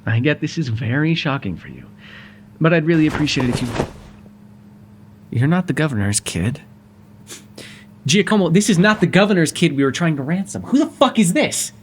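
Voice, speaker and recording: Casting: male actor for wry, exasperated yet courteous mobster, gravel-edged, conversational roleplay delivery, faint hum and noise on the recording. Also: on the high side, animated, normal speed, long pauses. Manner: sardonic and conversational, swinging from exasperated to soft. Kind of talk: ASMR roleplay voice acting.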